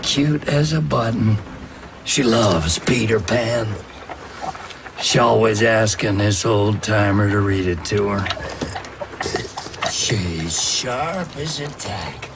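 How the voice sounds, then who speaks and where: Gravelly, slow-talking grandpa. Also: male voice, lowish voice, noisy background. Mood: fond, wistful. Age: older. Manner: folksy, unhurried storytelling. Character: tender, weary old grandfather, folksy survivor. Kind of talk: cinematic game trailer monologue. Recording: loud music under the voice.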